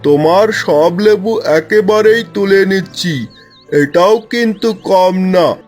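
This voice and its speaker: A man voicing a shrewd, sly village lemon trader in a cartoon, haggling in an unhurried way. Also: high-pitched, soft background music. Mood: shrewd, bargaining. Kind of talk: Bengali cartoon dialogue.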